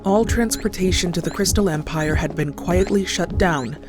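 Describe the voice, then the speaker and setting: A woman's voice: fairly low pitch, moderate pace, natural voice. A woman playing a composed narrator, reading scripted story narration for a cartoon horror tale. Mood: even, serious. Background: music.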